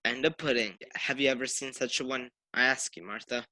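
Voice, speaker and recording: A cheerful, tender father and humble clerk played by a man in a radio drama. His voice is mid-pitched, bright and forceful, with a dry sound. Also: fairly even intonation, fairly quick, no room sound. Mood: merry. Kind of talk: radio play acting.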